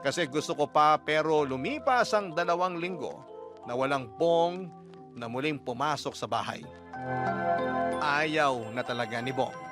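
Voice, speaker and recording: A man's voice: on the high side, animated, measured pace. A Filipino man giving a sorrowful, dramatic first-person scripted narration as a radio storyteller. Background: music.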